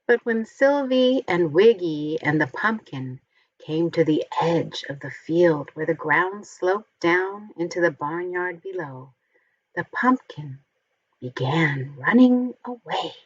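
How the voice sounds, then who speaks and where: Slow, husky woman reading a children's story. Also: lowish voice, lively intonation, no room sound, muffled recording. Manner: deliberate, enunciated, addressing young children. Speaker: storybook reader. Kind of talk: expressive children's read-aloud.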